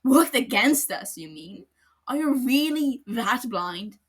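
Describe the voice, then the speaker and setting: A woman voicing an angry, blunt adult male detective in a podfic, with loud, scornful delivery. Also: on the high side, sing-song, slow pace, breathy edge, voice pushed up, heavily acted. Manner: furious, scornful, shouting. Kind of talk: podfic character reading.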